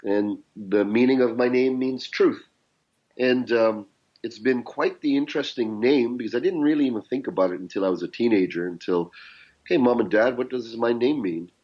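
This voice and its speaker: A man's voice: mid-range voice, normal speed, a little mellow, highs cut off. A man with a Canadian accent, a guest telling his life story in an earnest, reflective way. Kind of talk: podcast interview.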